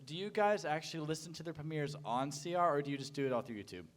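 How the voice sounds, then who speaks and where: A man's voice: on the high side, animated, fast. A man speaking casually into a handheld microphone to the audience at a convention panel. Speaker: radio host speaking at a fan panel. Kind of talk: casual questions and remarks to an audience. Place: convention panel room, indoors. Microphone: handheld microphone, standing.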